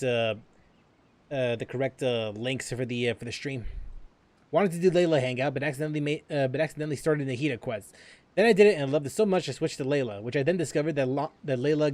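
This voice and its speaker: A man's voice: medium pitch, dry recording. A man streaming a video game live. He rambles casually, with many fillers and restarts.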